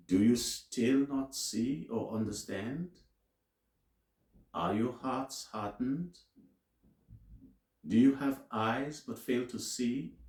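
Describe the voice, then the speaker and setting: A man reading scripture aloud as a teacher, in a solemn, deliberate and emphatic way with dramatic pauses. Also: mid-range voice, a little mellow. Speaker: Bible reader and teacher. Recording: roomy, echoing sound.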